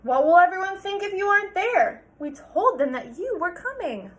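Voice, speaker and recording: A woman's voice: very high voice, very animated, normal speed, pitched above the performer's own voice. A woman reading a storybook in the role of a patient but firm man who coaxes with endearments. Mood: exasperated, firm. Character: coaxing, patient but firm man rousing reluctant Sarah.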